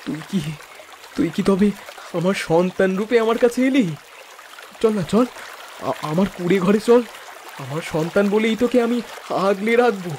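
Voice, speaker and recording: Man playing a kindly poor fisherman, highish voice. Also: normal speed, long pauses, darkish tone, slight background noise, natural voice. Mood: astonished, moved. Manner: earnest, consoling, emotive. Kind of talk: dramatic cartoon fairy-tale voice acting.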